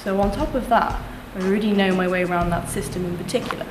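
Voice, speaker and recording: Earnest young woman pitching, echoey room. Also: steady tone, fast, background noise. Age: young adult. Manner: earnest, confident, restates points in lists. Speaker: student election candidate. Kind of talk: campaign pitch to camera. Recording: echoey room with music behind.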